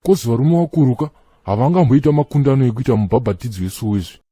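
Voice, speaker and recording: Dude voicing a lecturing zimbabwean church elder, fast talker, very dark voice, dry. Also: male voice, medium pitch, husky, dry recording, natural voice. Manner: lecturing, earnest, sermonizing. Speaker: cartoon character, friend of Chikombera. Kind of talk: comic cartoon dialogue.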